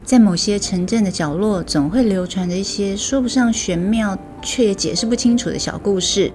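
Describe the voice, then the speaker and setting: Casting: female Taiwanese story host, scripted narration, mid-low pitch for a woman, music underneath. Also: moderate pace, a little mellow. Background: music bed.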